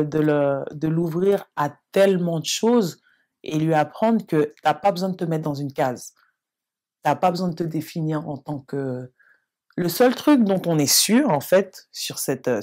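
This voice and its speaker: A woman's voice: low voice, darkish tone. A woman from France speaking reflectively and earnestly in a casual interview, acting out remembered exchanges. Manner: reflective and earnest, mimics others in quoted exchanges. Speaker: documentary interviewee.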